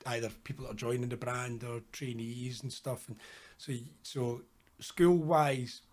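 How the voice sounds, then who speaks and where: A man's voice: mid-range voice, fairly even intonation, a little slow, darkish tone. A man hosting a podcast interview, chatty and friendly, with frequent restarts. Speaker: podcast host. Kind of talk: casual interview.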